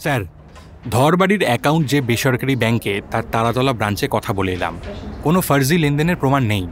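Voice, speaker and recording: A man's voice: medium pitch, animated, fast, faint music behind, lightly acted. A man acting a deferential, methodical junior police officer in an audio drama, reporting point by point. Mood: dutiful reporting.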